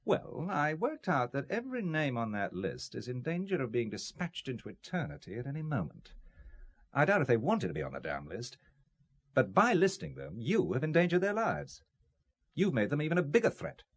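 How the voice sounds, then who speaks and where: A man's voice: mid-range voice, huge pitch swings, slightly rough, heavily acted. An actor playing a principled businessman blackmailed into espionage, speaking with dry, bitter sarcasm in an audio drama. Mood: defiant. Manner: dry, sardonic, deliberate.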